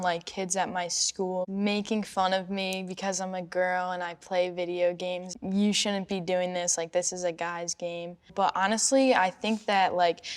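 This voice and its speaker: A young woman chatting casually as a gamer on a panel. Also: fairly low pitch. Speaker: panel participant, gamer and streamer. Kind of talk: casual chat.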